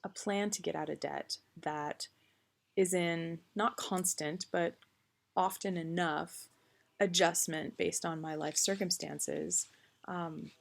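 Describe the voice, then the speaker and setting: A woman in her late thirties speaks in a casual, reflective vlog, pausing often with ums. Her voice sits fairly low and carries a noticeable gravelly fry. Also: fairly even intonation. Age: 30s. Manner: earnest and reflective, frequent ums. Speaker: vlogger. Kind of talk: casual talk to camera.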